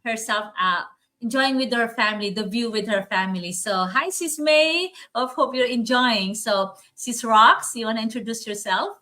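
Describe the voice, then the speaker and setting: A woman's voice: fairly high pitch, normal speed, bright, forceful tone. A woman hosting a casual online talk, often repeating her phrases as she goes. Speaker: host. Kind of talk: casual online talk, introducing topic and guests.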